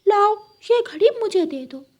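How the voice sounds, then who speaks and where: Lady doing a greedy sneaky girl cat for a cartoon, super high voice, slow talking, meows. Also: animated, darkish tone. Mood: greedy, demanding. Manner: meows before speaking, demanding. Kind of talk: cartoon character voice.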